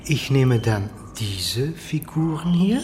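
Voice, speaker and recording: An actress in a German radio play as a dreamy, daring woman, speaking in a soft, musing, playful way. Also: rumbling low, animated, slow pace, a little hiss or hum, full character voice.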